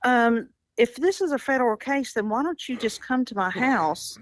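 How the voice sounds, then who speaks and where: A woman's voice: fairly high pitch, expressive, normal speed, no echo. A middle-aged woman in an unscripted phone conversation, speaking bluntly with incredulous, defiant pushback. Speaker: prank call target, a mother.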